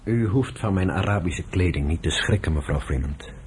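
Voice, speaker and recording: An actor playing a polite, businesslike villager and underground fighter, speaking courteously and matter-of-factly in a radio drama. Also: male voice, sits low, lively intonation, normal speed, noisy background. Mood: polite, urgent.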